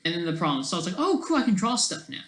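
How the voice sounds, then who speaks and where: A man with a fairly high, very animated voice rambles casually through his own ideas. He stops and starts, trails off and tacks on little questioning checks. The recording is strongly echoey, like an untreated room. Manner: halting, trailing off and jumping between thoughts. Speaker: solo online video creator. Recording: echoey, roomy sound.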